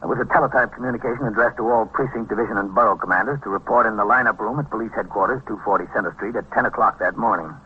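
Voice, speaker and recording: A world-weary American police captain, narrates a radio crime drama like a report. His voice is low and very husky, his delivery clipped and steady. The sound is a dull old radio recording. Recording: dull, band-limited vintage radio recording.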